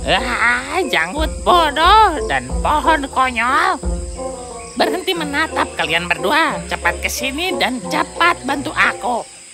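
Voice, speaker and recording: A man's voice: extremely high pitch, very animated, slow, over music, pitched above the performer's own voice, full character voice. A man voicing a grumpy, frantic little bearded dwarf in a children's fairy tale, scolding and exclaiming indignantly. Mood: grumpy, frustrated.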